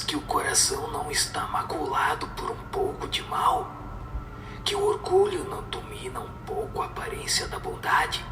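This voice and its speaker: A man voicing a solemn spirit who whispers moral admonitions in a breathy, slow, radio drama delivery. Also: mid-range voice, roomy sound.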